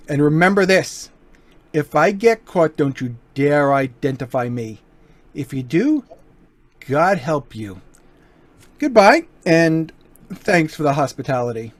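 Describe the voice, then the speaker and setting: An actor playing a suave, courteous gentleman burglar with a coolly threatening manner in an old-time radio crime drama. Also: on the high side, very animated, unhurried, no echo. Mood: quietly menacing. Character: suave, polite but menacing male gentleman burglar.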